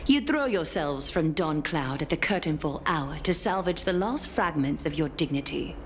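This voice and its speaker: Cold demigod lady for a fantasy game: lower register, dignified, formal, coolly scornful, noisy backdrop. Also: lively intonation. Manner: measured, formal, coolly disdainful. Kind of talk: dramatic video game voice acting.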